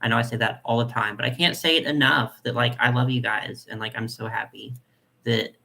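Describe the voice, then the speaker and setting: A young man with a nasal voice hosting a casual live chat, reading comments and talking in a chatty, soft way with lots of fillers. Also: medium pitch, fairly even intonation, fairly quick, creaky. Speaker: doll collector channel host.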